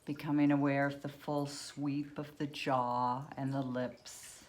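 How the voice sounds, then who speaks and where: A woman leading a guided yoga nidra meditation, speaking unhurriedly in short phrases with long pauses between them. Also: very deep voice, level tone, darkish tone.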